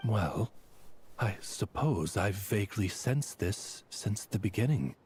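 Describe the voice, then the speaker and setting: A man's voice: fairly low pitch, animated, slow, darkish tone, slight background noise. A man voicing a loyal, dignified raven butler in a video game, speaking in a formal, florid manner. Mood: courtly, composed.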